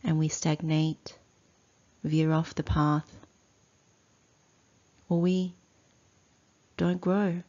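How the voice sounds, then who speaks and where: A woman leads a guided meditation in a low, mellow voice. She speaks very slowly and deliberately, setting out short phrases with long pauses between them, as a teacher instructing students. The recording is slightly dull. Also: little pitch movement.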